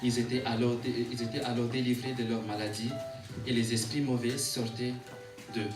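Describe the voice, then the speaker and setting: A male preacher with a medium-pitched voice, heard at some distance in an echoey room. He delivers a sermon, repeating and rephrasing his lines and punctuating them with amens, while music plays clearly behind him. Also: level tone. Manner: halting when reading aloud, repeats and rephrases phrases; rhythmic repetition, calls for amens. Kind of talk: sermon with scripture reading.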